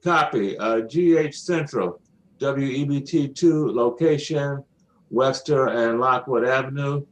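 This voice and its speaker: A man's voice: mid-range voice, slow pace, low-quality recording. A man making brief, formal procedural radio calls in clipped phrases as a trainee operator.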